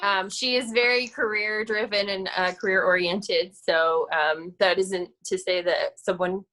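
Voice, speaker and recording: A woman's voice: mid-range voice, steady tone, a little slow, sharp tone, low-quality recording. A woman chatting casually on a group video call, playful and sassy as she answers questions. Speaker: actress answering questions about her character. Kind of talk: casual chat.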